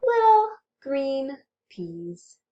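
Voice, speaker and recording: Very animated, slow-paced woman hosting children's storytime. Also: high-pitched, muffled recording. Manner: animated, exaggerated, addressing young children. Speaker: children's librarian storytime host. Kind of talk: children's storytime read-aloud, singsong rhymes.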